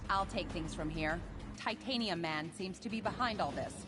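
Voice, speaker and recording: An actress playing a brusque, sarcastic military commander in a video game, clipped and bossy. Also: female voice, on the low side, moderate pace, music in the background, natural voice. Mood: impatient, sarcastic. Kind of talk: video game cutscene acting.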